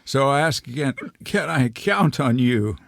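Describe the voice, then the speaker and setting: A man's voice: mid-range voice, animated, measured pace, vocal fry, fairly bright tone, no echo, clean studio sound. A man playing a nervous, well-mannered otter archaeologist in a comic radio drama, fussy, genteel and hesitant. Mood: genteel, eager.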